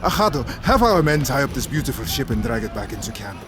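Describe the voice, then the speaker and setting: An actor playing a sly, mocking pirate captain in an audio drama, theatrical and condescending. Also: male voice, mid-range voice, sing-song, quick pace, lightly acted.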